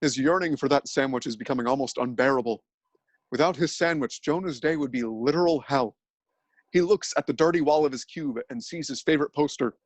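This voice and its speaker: A man narrating a scripted dramatic reading, telling absurd events in an earnest, deadpan way. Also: mid-range voice, fairly quick, dry recording.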